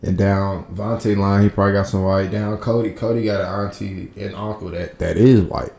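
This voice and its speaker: A man co-hosting a podcast in relaxed, slangy casual chat, chuckling as he reads out listener comments. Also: on the low side, moderate pace, vocal fry, echoey room. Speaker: podcast co-host.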